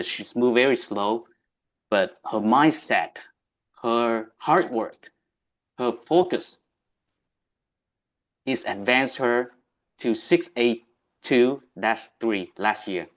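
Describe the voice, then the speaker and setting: Male host, Vietnamese accent, unhurried and halting delivery, slightly muffled low-fidelity audio. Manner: deliberate, halting, non-native English phrasing. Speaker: host introducing a speaker. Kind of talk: event introduction on a video meeting.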